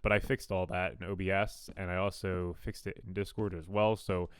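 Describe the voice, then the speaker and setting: A young man with a fairly deep radio-style voice, chatting casually and rambling with self-deprecating asides while he builds in a game. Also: level tone, normal speed, clean tone, dry recording. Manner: rambling, self-deprecating, frequent ums and restarts. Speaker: gaming YouTuber. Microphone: new studio condenser mic.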